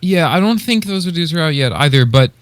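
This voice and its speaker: A man's voice: on the high side, lively intonation, fairly quick, dry recording. A man co-hosting a podcast, chatting casually in a tentative, hedging way. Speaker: podcast co-host. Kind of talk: casual chat about beatbox competitors.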